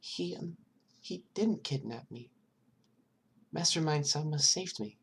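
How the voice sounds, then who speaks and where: A narrator voicing a frightened, timid little girl in an audiobook, speaking haltingly and hesitantly. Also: mid-range voice, lively intonation, gravelly, husky, voice pushed up, full character voice. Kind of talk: acted audiobook dialogue.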